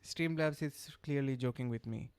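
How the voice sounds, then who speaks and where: A man's voice: medium pitch, normal speed, clear, resonant tone. A young man from Bangladesh with a Bangladeshi accent, a native Bengali speaker, chatting casually and thinking aloud while he codes on a live stream. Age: young adult. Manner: relaxed, thinking aloud, reading chat and answering. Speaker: streamer and developer.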